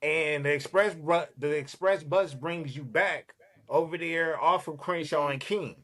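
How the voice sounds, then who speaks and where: A man from Los Angeles, a podcast guest, telling a casual, streetwise story in an animated, profane way. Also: fairly high pitch, unhurried.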